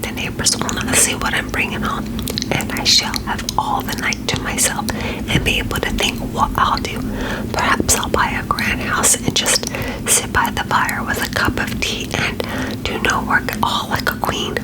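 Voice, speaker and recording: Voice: normal speed, natural voice, rough recording. A storybook reader voicing a cheerful, optimistic village woman of a folk tale in a folksy, self-reassuring whisper. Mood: gleeful, dreamy. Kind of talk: whispered storybook character reading.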